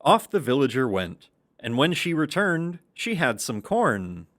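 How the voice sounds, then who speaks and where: Narrator, male: mid-range voice, very expressive intonation, scripted storytelling for young children. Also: mid-range voice, unhurried.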